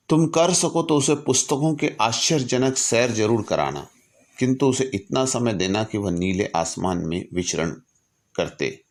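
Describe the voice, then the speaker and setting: A man telling a story reflectively in a didactic way, with measured delivery and deliberate pauses. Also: lowish voice. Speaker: storyteller and speaker. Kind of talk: reflective storytelling, reciting a letter from memory with asides.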